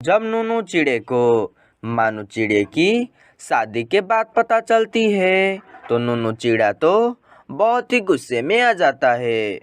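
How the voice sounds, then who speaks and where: A man's voice: a little high, very animated, slow, smooth. A man narrating a scripted animal fable for a cartoon as its storyteller.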